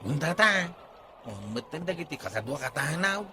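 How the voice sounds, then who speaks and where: A man's voice: a little high, big pitch swings, moderate pace, background noise. A man playing a sympathetic village man in a radio comedy drama, with an Ilocano accent. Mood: concerned.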